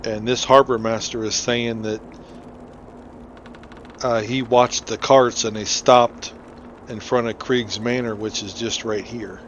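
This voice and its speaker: A man's voice: mid-range voice, steady tone, slow, long pauses, lo-fi sound, a little hiss or hum. A man giving casual, informal gameplay commentary, thinking aloud as he plays. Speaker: gamer, playthrough host.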